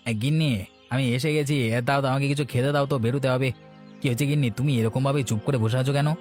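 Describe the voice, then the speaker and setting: An actor voicing a meek, hard-up peddler husband in a cartoon, placating and eager to please. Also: male voice, mid-range voice, steady tone, very fast, darkish tone, soft background music, close to an everyday voice. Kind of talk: Bengali cartoon voice acting.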